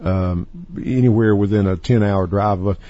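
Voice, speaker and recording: A man's voice: on the low side, normal speed, dark tone, no echo, muffled recording. A man hosting an interview, asking folksy, rambling questions full of fillers. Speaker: interview host. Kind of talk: conversational interview.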